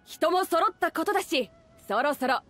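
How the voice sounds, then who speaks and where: A bold pirate fleet captain for an anime game. Her voice sits high. She talks casually but with command, confident and teasing. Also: animated, normal speed, fairly bright tone, faint music behind. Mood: rousing. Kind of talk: anime-style game voice acting.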